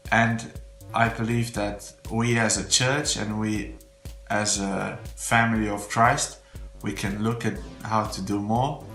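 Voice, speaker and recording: Low-voiced man, earnest. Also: fairly bright tone. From Romania. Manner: heartfelt, faith-filled, slightly non-native phrasing. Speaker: church member giving a testimonial. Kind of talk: earnest unscripted testimonial. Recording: roomy echo with faint background music.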